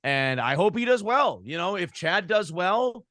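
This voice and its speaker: An American man with a fairly high voice talks bluntly and casually in a podcast interview. He is opinionated and swears freely, like a seasoned radio host speaking off the cuff. The sound is dry and close. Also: big pitch swings, measured pace, sharp tone, no echo. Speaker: morning show host and former radio broadcaster.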